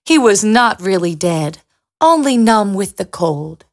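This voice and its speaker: A woman's voice: medium pitch, very animated, measured pace. A woman storyteller reading a scripted fairy tale for children.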